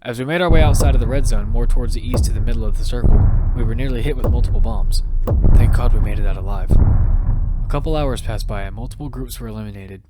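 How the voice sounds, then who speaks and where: A man's voice: mid-range voice, quick pace, heavy background noise, natural voice. A man playing a blunt young survivor of a deadly battle game, narrating his own story in an audio drama. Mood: grave narrating. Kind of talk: first-person narration in an audio fiction. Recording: music loud behind the voice.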